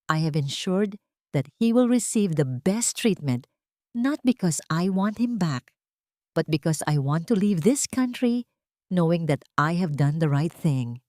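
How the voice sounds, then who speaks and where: A woman voicing a composed young woman who speaks calmly and firmly, with no trace of anger. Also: lowish voice, no room sound, studio quality. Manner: calm, firm, measured.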